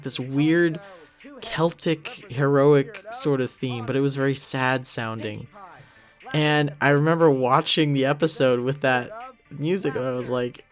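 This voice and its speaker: A young man giving a casual commentary track in a rambling, self-critical way, often trailing off mid-thought. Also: medium pitch. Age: young adult. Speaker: creator of an animated parody series.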